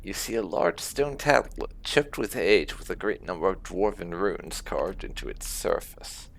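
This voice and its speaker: A man's voice: sits low, animated, a little slow, creaky. A man hosting a video game playthrough, musing aloud in casual commentary. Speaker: gaming video host.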